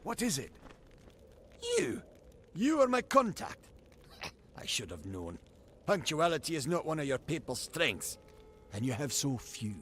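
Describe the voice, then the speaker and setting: An actor playing a haughty, sardonic templar in a fantasy game, with a pompous, sarcastic delivery. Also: male voice, a little high, big pitch swings, a little slow, long pauses, a bit bright, a little hiss or hum, exaggerated voice. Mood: disdainful. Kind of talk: scripted fantasy game acting.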